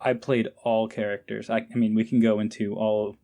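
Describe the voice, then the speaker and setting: A man's voice: fairly low pitch, little pitch movement, moderate pace, warm tone. A man speaking as a podcast guest in a casual, informal and somewhat hesitant, enthusiastic way. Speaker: podcast guest, amateur voice actor. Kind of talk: casual interview chat.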